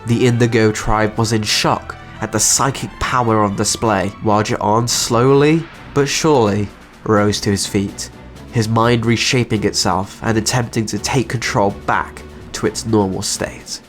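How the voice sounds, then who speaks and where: A man's voice: fairly low pitch, steady tone, unhurried, faint music behind. A man giving scripted narration as the narrator and creator of a story channel.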